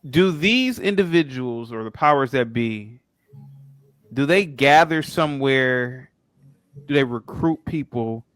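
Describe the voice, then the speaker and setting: A man's voice: mid-range voice, animated, slow, fairly bright tone, clean tone, dry recording, clean studio sound. A man in a casual live debate who interjects and repeats his phrases.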